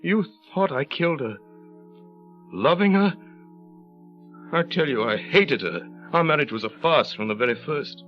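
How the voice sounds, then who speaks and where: An actor playing a haunted, bitter, despairing husband in 1930s radio drama, with a brooding, halting delivery. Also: male voice, fairly high pitch, lively intonation, faint music behind. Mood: agitated, bitter. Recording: muffled old radio recording.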